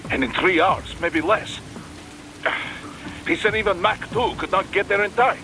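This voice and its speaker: An actor playing an excitable male support operator, reacting quickly and nervously. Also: high-pitched, background noise. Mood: worried. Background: music.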